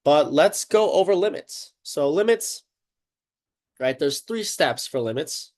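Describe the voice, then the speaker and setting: A man's voice: a little high, lively intonation, measured pace, darkish tone. Congested man teaching a casual math lesson. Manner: upbeat, chatty, checks in often with "okay" and "right?". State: congested, sniffling.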